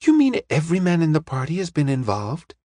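Man putting on a high-pitched earnest young woman. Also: huge pitch swings, fairly quick, warm tone, no echo, clean studio sound. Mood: amazed.

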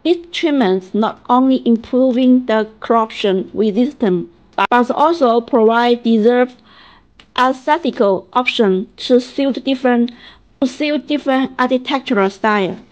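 A middle-aged woman with a Chinese accent reading a scripted promotional narration in a halting way, as a factory representative. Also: a little high, darkish tone, lo-fi sound. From China. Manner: halting reading, stumbling over and repeating phrases. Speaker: factory representative presenting products.

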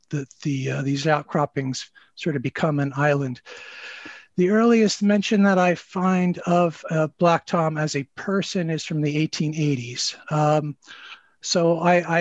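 An older man, a librarian, explaining history informally and haltingly on a video call. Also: on the high side, no echo. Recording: dull, lo-fi video call audio.

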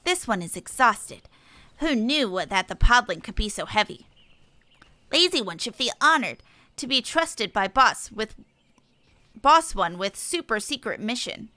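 A woman's voice: on the high side, very animated, unhurried, sharp tone, no room sound, heavily acted. A woman voicing a small, earnest, fretful forest sylph from a fantasy game, speaking oddly in the third person. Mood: weary. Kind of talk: reading game dialogue in character.

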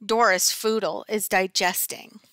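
A woman's voice: medium pitch, fairly even intonation, unhurried, a bit bright, dry recording, lightly acted. A woman voicing a monstrous green lady teacher from a storybook, sweetly sinister and theatrical, gleefully menacing. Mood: smug.

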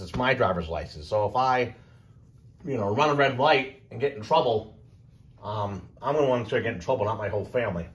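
A man giving a casual, unscripted explainer as a hobbyist presenter, halting, repeating himself and trailing off. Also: medium pitch. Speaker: presenter of a radio hobby video.

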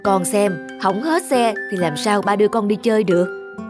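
A woman's voice: mid-range voice, moderate pace, natural voice. A woman voicing a stern but loving cartoon father talking about his small child. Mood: tender, regretful. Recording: music behind the voice.

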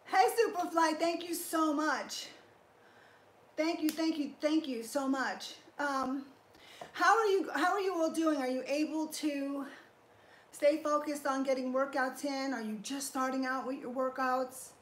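A woman's voice: sits high, a little slow, slight background noise. A middle-aged woman hosting a casual fitness livestream from her garage home gym, upbeat as she thanks viewers and explains her training step by step. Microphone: fixed camera at a few feet. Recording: echoey garage room.

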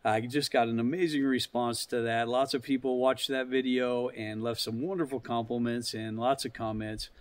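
A man's voice: medium pitch, level tone, moderate pace, few pauses, no echo. A middle-aged man talking casually and plainly to viewers as an outdoor vlogger, explaining things in an easygoing way. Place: outdoors in snowy woods.

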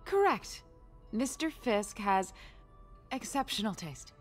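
An actress playing a polished, guarded woman art dealer in a video game, with a smooth, refined manner that turns curt when pressed. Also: a little high, very animated, slow pace, soft background music, exaggerated voice. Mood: poised. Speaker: art auction house owner.

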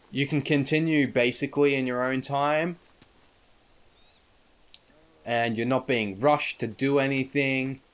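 A man commentating a game he plays, excitable and casual, his voice slightly croaky in the morning. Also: mid-range voice. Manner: excitable, swears, shrieks at small things. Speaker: gaming YouTuber. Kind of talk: casual let's play commentary.

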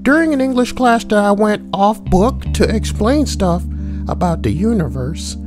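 A man's voice: high voice, expressive, moderate pace, music in the background. A man voicing a brash, foul-mouthed schoolboy, reading posts for comedy and adding slangy, mocking asides. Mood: sly, casual. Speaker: host reading forum posts. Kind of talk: comedic reading with crude commentary.

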